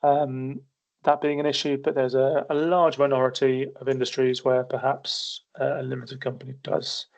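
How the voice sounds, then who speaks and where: A man's voice: mid-range voice, little pitch movement, normal speed, vocal fry, darkish tone. A man presenting a business webinar as an accountancy partner, methodically explaining with frequent small restarts while losing his voice. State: losing his voice, occasional coughs.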